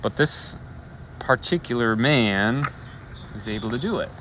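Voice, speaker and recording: An animated man's voice reads a children's bedtime story with big swings in pitch. He often steps out of the tale to comment or put a question to listeners. He is outdoors, with faint traffic noise behind him. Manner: expressive, pausing to ask and comment to listeners. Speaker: elementary school educator reading a bedtime story. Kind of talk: read-aloud storybook narration with asides. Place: outdoors on a school roof at night.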